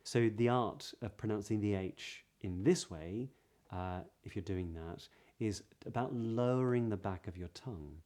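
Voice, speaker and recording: Southern english guy coaching pronunciation, lowish mellow voice, clear and careful. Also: measured pace. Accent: Southern British English. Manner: clear, demonstrative, breathy example sounds. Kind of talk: pronunciation lesson.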